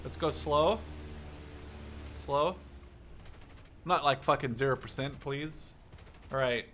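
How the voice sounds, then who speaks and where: A young man giving casual, profanity-laden gameplay commentary, excitable and often talking to himself as he plays. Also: on the high side, slow, slight background noise. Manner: excitable, swears freely, talks to himself. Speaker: gaming YouTuber. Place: small room at home.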